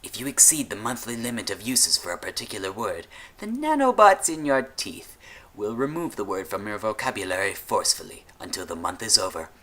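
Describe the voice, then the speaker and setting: A man voicing an eccentric, grandiose villain-like leader, giving speeches with dramatic pauses in an audio story. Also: medium pitch, expressive, a bit bright. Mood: pompous. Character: eccentric supervillain-like male benefactor, theatrically grandiose.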